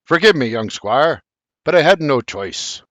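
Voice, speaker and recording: A man's voice: fairly high pitch, very animated, measured pace, fairly bright tone, dry recording. A man voicing a fatherly, joking old Scottish nobleman in broad dialect. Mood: hearty. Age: older. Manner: boisterous, teasing, broad dialect.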